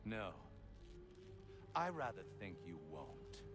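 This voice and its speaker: Haughty, menacing gentleman villain. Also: on the low side, big pitch swings, takes it slowly, loud music behind the voice, heavily acted. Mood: cold, wronged. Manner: haughty, drawling, mock-polite. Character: pompous, menacing gentleman slaver villain, coldly theatrical. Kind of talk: dramatic game cutscene acting.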